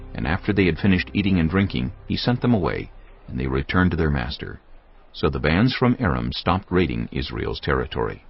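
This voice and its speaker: A man reading scripted Bible narration in a grave, measured storytelling manner. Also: a bass voice, gravelly, husky, highs cut off.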